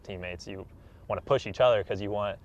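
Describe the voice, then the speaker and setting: Young American man, lowish pitch, college athlete in a casual interview, earnest and unpolished. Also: a little fast. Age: young adult.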